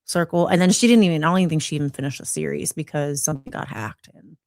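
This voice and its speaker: A woman's voice: low-pitched, quick pace, vocal fry, a little mellow. A woman co-hosting a podcast in casual chat, chatty and hesitant, with many "um" and "like". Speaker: podcast co-host.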